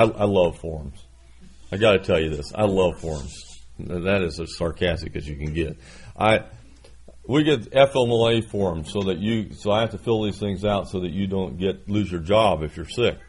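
Man, 60s, preacher; low, husky, folksy and self-deprecating. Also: darkish tone. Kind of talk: sermon with scripture reading.

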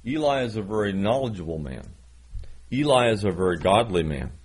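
Man, 60s, preacher; low, husky, folksy and self-deprecating. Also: big pitch swings, brisk. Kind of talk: sermon with scripture reading.

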